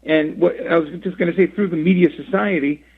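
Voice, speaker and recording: A man in his sixties from Rhode Island, a radio interview guest who talks in a halting way with many fillers and restarts, heard over a phone line. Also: a little high, fairly even intonation, breathy edge. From Rhode Island, USA. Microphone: phone call.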